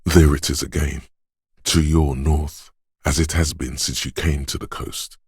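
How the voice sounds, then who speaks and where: An actor voicing a disembodied male inner sense of perception in a game, speaking in a hushed, measured, quietly descriptive way in the second person. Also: a bass voice, flat delivery, slightly rough, dry recording, studio quality, heavily acted. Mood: hushed observant.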